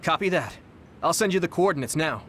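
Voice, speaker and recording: Earnest young rookie, high animated voice. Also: male voice, brisk, slight background noise. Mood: concerned. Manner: earnest, polite. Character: earnest, polite, eager young male rookie soldier, anime. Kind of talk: English anime game voice acting. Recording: music behind the voice.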